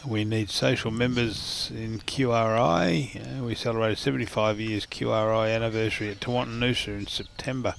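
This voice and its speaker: A man with an Australian accent doing relaxed, chatty live commentary on a bowls match, full of fillers and laughter. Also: fairly low pitch, moderate pace, gravelly, few pauses, slight background noise. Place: outdoors at a bowls green.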